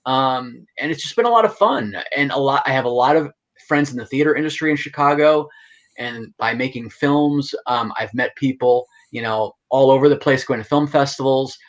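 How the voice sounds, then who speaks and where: A man's voice: medium pitch, moderate pace, lo-fi sound. A middle-aged man, an actor and writer, explaining his work as a guest in a casual, enthusiastic interview chat.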